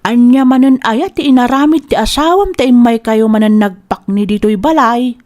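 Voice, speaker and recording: Lady playing fretful disapproving mom, older, ilocano accent, kinda high pitch, radio drama. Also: moderate pace, a little mellow, lightly acted. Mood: concerned disapproval. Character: concerned, disapproving older mother figure.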